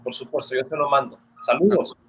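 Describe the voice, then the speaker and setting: A man's voice: medium pitch, brisk, a bit bright, slight background noise, rough recording. A man with a northern Mexican border accent interviewing a doctor politely and formally over a video call. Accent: northern Mexican border Spanish. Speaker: interviewer and news presenter.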